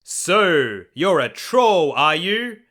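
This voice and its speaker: A man voicing a huge, fearless billy goat in a storybook, with a big booming voice and a bold, defiant manner. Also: sing-song, drawn-out words, pushed and bright, full character voice. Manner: defiant, confident, challenging.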